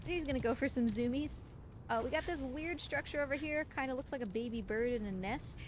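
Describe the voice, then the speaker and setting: A woman's voice: high voice, brisk, noisy background. A woman giving chatty, playful, casual commentary on her own livestreamed speedrun. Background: game music.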